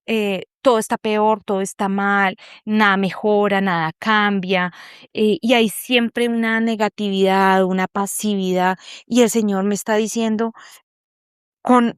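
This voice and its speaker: A woman's voice: medium pitch, clean tone, no room sound, studio quality. Earnest woman sharing a faith testimony. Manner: earnest, reflective, searching for words. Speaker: group member sharing a testimony. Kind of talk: personal faith testimony in an online meeting. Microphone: video call.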